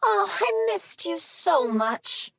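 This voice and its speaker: A woman's voice: very high-pitched, sing-song, slow pace, a bit bright, no room sound, full character voice. An actress voicing a flirtatious female machine in a video game, purring and teasing. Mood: sultry. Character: flirty female machine, sultry vending unit. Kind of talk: video game character dialogue.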